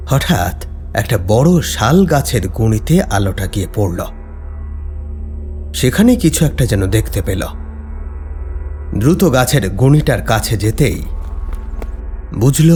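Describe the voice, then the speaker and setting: A man narrating a scripted horror story in a dramatic, suspenseful, deliberate way. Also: fairly low pitch, darkish tone.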